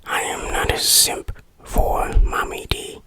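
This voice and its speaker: A person speaking in a breathy whisper, giving a playful, tongue-in-cheek ASMR game review. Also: slow pace.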